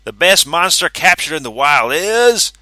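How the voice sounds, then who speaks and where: A man's voice: on the high side, big pitch swings, measured pace, pushed and bright, dry recording, studio quality, pitched above the performer's own voice, heavily acted. A man voicing a pompous male show president making grand announcements.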